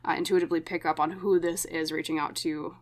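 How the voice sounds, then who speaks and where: A woman giving a pick-a-card tarot reading, speaking in a gentle, reassuring way with a slightly scratchy voice. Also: sits low, steady tone. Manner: gentle, slow, reassuring, with many fillers and tag questions. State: scratchy voice from smoke. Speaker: tarot reader.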